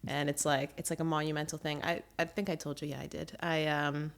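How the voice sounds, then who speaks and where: A woman's voice: low-pitched, level tone, fairly quick. A woman hosting a podcast in a casual chat, informal and encouraging with frequent agreeing interjections. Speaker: podcast host.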